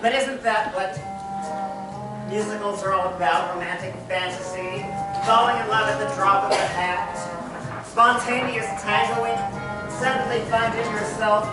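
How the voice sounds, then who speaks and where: Actor as wry nostalgic musical fan narrating to the house, confiding, theatrical, echoey far mic. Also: male voice, high-pitched, fairly even intonation, a little slow, loud music behind the voice. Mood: wistful, amused. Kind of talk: confiding stage monologue. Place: theatre stage.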